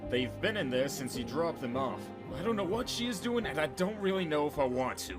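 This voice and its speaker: A man's voice: fairly high pitch, very animated, brisk, over music. A man acting an exasperated, sarcastic reluctant ally in an audio drama, scolding as he talks. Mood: annoyed.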